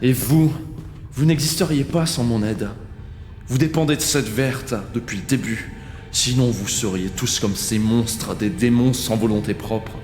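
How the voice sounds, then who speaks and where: A man's voice: medium pitch, unhurried, a little mellow, a little hiss or hum, lightly acted. A man voicing a stern, anxious city leader who talks in an urgent, commanding way. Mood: indignant. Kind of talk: amateur audio drama acting. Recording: echoey hall, under music.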